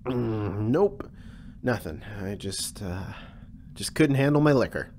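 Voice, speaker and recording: Woman as hungover male clerk, very deep, swooping pitch, irritable, muttering, curt, comic audio drama. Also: expressive, slow, darkish tone, a little hiss or hum, heavily acted. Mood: curt, queasy.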